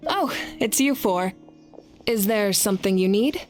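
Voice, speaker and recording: A woman plays a composed warrior goddess from an anime game. Her voice sits at a medium pitch, measured and gracious without pomp, with faint music behind. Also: close to an everyday voice. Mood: calm, gracious. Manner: calm, measured, modest. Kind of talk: anime-style video game voice acting.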